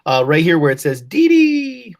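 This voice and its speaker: Low-voiced, encouraging woman teacher. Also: big pitch swings, normal speed, a little mellow. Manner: encouraging, patient, addresses pupils with endearments. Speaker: teacher leading a class read-aloud. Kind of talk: online lesson talk, prompting and praising pupils. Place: online class video call. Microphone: video call.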